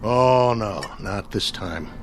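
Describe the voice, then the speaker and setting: A man's voice: on the low side, slow, noisy background. An actor playing a bitter, self-serving, confrontational crewman stranded in space, in a radio drama. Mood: hostile, desperate. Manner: sardonic, confrontational.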